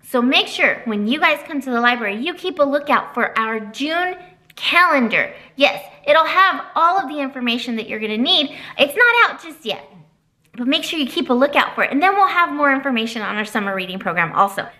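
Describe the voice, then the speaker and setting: Woman in her 30s, storytime librarian; high and singsong, with a lively, swooping delivery. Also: a little fast. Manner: animated, sing-song teacher voice. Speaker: children's librarian. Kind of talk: storytime reading and announcements for kids. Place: library children's room, indoors.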